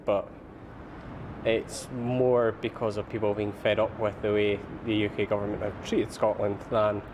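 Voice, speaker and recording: A man giving a measured answer in a workplace interview. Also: lowish voice, little pitch movement, background noise.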